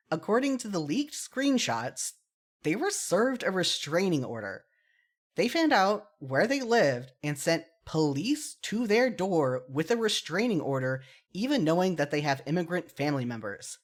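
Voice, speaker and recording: Podcast host, mid-range voice, solo commentary; earnest, opinionated, casually self-deprecating. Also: medium pitch.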